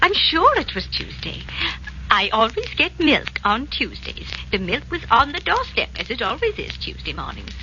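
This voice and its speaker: A woman's voice: sits high, huge pitch swings, moderate pace, sharp tone, muffled recording, a little hiss or hum, full character voice. A woman playing a confused, insistent old aunt in a 1940s radio drama, hesitant and repeating herself, on an old recording. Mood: flustered, insistent. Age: older.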